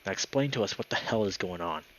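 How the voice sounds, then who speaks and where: Man as a domineering low-voiced wolf. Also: lively intonation, fast talker, a bit bright, slight background noise, poor audio. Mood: menacing. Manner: threatening, profane, commanding. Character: violent, domineering, protective big male wolf.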